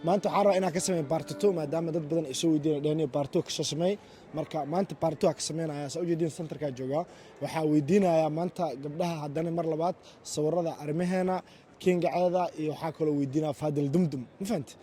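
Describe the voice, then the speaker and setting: A young man with a Somali accent runs a street interview vlog outdoors in a city centre, recorded on a handheld camera. He talks very fast in a slightly raised voice, chatty and quick, jumping between two languages. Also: a little high, fairly even intonation, warm tone, faint music behind.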